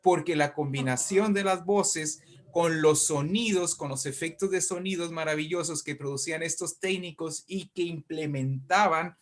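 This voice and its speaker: A man with a fairly high voice and a Latin American Spanish accent. He explains in a conversational way, haltingly, repeating small words while he looks for the right one. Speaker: writer discussing radio drama history.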